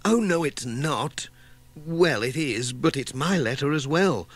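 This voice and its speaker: Man voicing a flustered, high-pitched countrywoman. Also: expressive, measured pace. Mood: excited. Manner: exclamatory, homely. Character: flustered, kindly countrywoman, busy housewife and baker.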